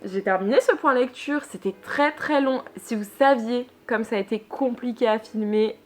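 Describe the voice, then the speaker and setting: A woman's voice: on the high side, moderate pace, soft background music. Chatty young woman reviewing a book. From Lille, northern France. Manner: chatty, many fillers and restarts. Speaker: book vlogger. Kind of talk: casual book review monologue.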